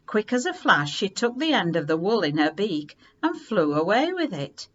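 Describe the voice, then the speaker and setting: A woman reading a children's story aloud in an expressive, unhurried way with dramatic emphasis. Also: medium pitch, highs cut off.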